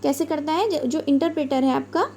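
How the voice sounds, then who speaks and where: A young woman explains computer software in a lecture, patiently and with everyday examples. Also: high voice, a little fast. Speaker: computer teacher.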